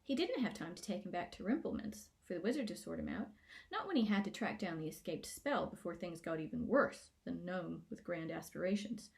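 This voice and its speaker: A woman narrating a scripted children's fantasy story in a playful, expressive way, as an author reading aloud. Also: on the low side, brisk, vocal fry. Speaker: author reading own book.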